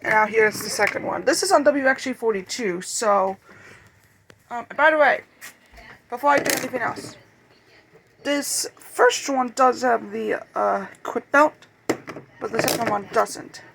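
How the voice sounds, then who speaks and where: Someone casually showing off a collection in a home-made vlog, talking in a halting, rambling way. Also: mid-range voice, gravelly, a bit bright, slight background noise. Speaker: video creator showing a radio collection. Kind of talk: casual show-and-tell vlog.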